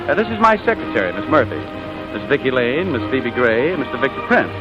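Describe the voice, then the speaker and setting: A dull-sounding 1940s radio recording with music behind it. A brash American producer played by an actor talks in a very animated, mid-pitched male voice, full of wisecracks and schemes. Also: quick pace, natural voice. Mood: breezy. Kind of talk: 1940s radio comedy acting. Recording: muffled old radio broadcast, background music.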